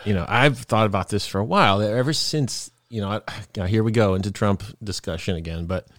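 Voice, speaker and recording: A man's voice: on the low side, brisk, fairly bright tone, no room sound. A man hosting a casual chat podcast, rambling and laughing often, sniffling from a cold. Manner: rambling, laughs often, trails off mid-thought. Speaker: podcast host.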